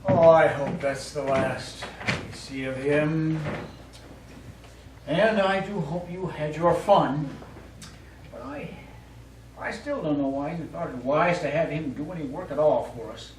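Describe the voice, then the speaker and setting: An actor in a live stage play as a doting, anxious husband, earnest and easily exasperated. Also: male voice, a little high, measured pace, lightly acted. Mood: weary, tender. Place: small theatre studio, distant microphone. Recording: echoey, noisy stage recording from far off.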